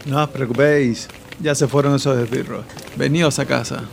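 Guy doing friendly young sidekick in radio play, creaky voice. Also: mid-range voice, fairly even intonation, moderate pace, a little hiss or hum, natural voice. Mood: reassuring, friendly. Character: Torvald, friendly, helpful, modest young local guide. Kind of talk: Spanish audio fiction acting.

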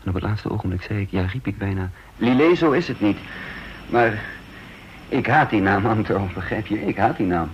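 An actor playing a brooding, guarded undercover patriot who narrates his own story in a deliberate, confiding Dutch radio drama style. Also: fairly low pitch, lively intonation, close to an everyday voice. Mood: bitter, agitated. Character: brooding undercover patriot man, self-reproaching, tense and guarded. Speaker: narrator and lead character of a radio serial. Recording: dull, noisy old radio recording.

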